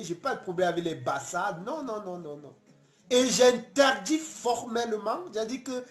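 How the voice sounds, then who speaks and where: A man from Cameroon, hosting a casual late-night live stream, talking earnestly and passionately with many halting restarts. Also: sits high, roomy sound.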